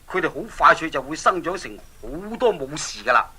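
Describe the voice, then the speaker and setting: A man's voice: fairly high pitch, sing-song, pushed and bright, full character voice. A man with a Hong Kong accent playing a scheming, menacing king in a children's radio drama, with mocking, commanding delivery. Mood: sly. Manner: mocking, drawn-out threats.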